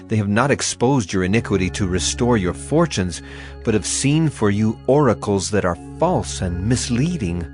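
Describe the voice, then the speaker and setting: A man in a dramatized scripture reading, grave and earnest, addressing the listener in exhortation. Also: on the low side. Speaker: narrator.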